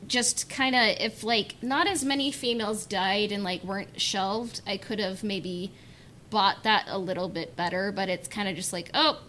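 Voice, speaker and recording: Woman chatting hesitantly over background rumble. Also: normal speed, fairly bright tone. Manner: hesitant, hedging, frequent "like" and "you know". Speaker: podcast panelist discussing a book. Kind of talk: casual chat.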